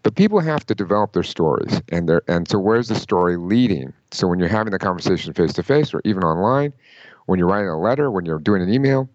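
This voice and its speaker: Fast-talking deep-voiced man giving advice. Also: no room sound, highs cut off. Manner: emphatic, lively, quotes people in mock voices. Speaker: career advice guest. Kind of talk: conversational interview.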